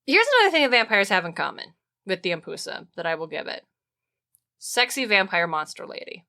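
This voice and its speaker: A woman podcast host telling stories in a casual, comic chat, animated and emphatic, with plenty of swearing. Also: mid-range voice, a little fast, creaky.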